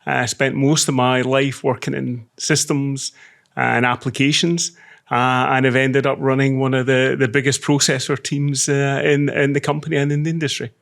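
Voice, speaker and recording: A middle-aged Scottish man in a relaxed interview with a gravelly texture to his voice. He speaks at an even middle pitch, measured and self-deprecating, often restarting his phrases. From Scotland. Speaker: podcast guest, semiconductor executive. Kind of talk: casual interview talk.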